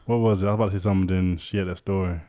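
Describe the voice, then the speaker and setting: A man's voice: low-pitched, steady tone, rapid-fire, warm tone. A man with a Southern US accent and Jamaican background, co-hosting a casual podcast chat, profane and joking, laughing often. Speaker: podcast co-host.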